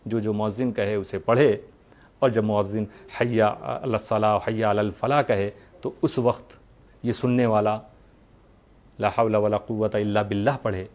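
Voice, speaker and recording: A man giving a religious sermon, speaking earnestly to his followers with rhetorical questions and deliberate pauses. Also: fairly low pitch, steady tone, a little mellow.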